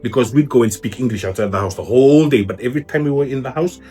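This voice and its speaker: A man hosting a casual interview, talking in an animated way with many fillers. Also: medium pitch, fast, soft background music.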